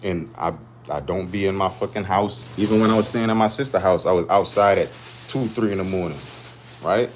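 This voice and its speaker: A middle-aged Trinidadian man talks on a livestream in a blunt, rambling way, swearing as he goes. His voice sits a little low. The street noise of an outdoor spot stays clearly audible behind him. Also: brisk. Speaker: livestream host. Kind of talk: casual livestream rant.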